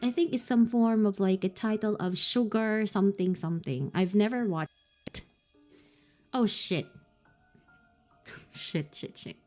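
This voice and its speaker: A woman's voice: mid-range voice, a little slow, pauses often, a little mellow, soft background music. A woman streaming a horror visual novel, reading the on-screen text aloud between giggles and startled swearing. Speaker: streamer playing a horror game.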